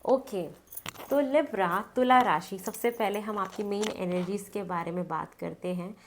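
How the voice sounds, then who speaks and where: A woman's voice: mid-range voice, a little slow, slight background noise. Woman reading tarot with a cold. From North India. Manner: chatty, repeats phrases, chants between cards. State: has a cold, blocked nose. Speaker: tarot card reader. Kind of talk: casual tarot reading to camera.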